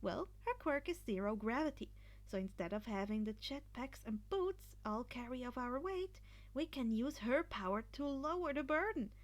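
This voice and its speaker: A woman's voice: sits high, animated, normal speed. A woman voicing an earnest, analytical teenage boy who explains at length, in an amateur story reading. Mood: earnest, explaining. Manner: rambling, explanatory. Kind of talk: amateur fan fiction audio reading.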